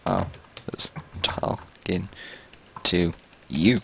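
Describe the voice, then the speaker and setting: A man's voice: low-pitched, extremely slow, vocal fry, fairly bright tone, slight background noise. A teenage boy chatting casually while playing a game, goofy and teasing, laughing often. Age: teens. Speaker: gamer recording gameplay. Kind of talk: casual gameplay chat.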